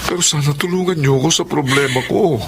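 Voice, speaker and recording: A man's voice: fairly high pitch, fairly quick, natural voice. A man playing a guilty, pleading gambler father in a noisy Filipino radio drama.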